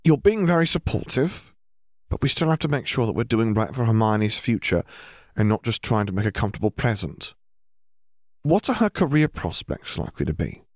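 A man's voice: on the low side, big pitch swings, moderate pace, a little mellow, no room sound, clean studio sound. A man voicing a worried, practical mother who questions earnestly, in an audiobook story. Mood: probing. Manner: probing, earnest.

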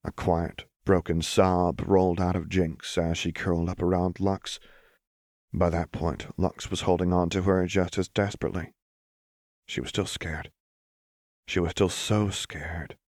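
A man narrating scripted fiction as an audiobook reader, in a soft, grave and emotive delivery. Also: deep voice, little pitch movement, measured pace, no echo, studio quality.

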